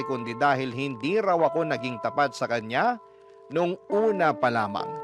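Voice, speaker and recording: A man reading a listener's letter aloud in first person, as radio drama narration. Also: medium pitch. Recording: music bed under the voice.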